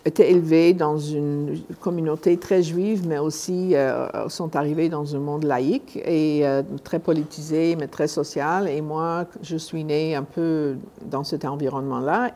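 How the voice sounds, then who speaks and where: Low, mellow older woman lecturing conversationally. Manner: halting, conversational, often repeats and rephrases words. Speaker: guest speaker at a conference. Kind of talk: informal public lecture.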